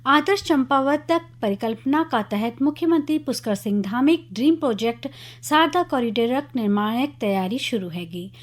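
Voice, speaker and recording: A woman's voice: a little high, lively intonation, moderate pace. A woman with a Kumaoni accent reading a scripted regional news bulletin on the radio.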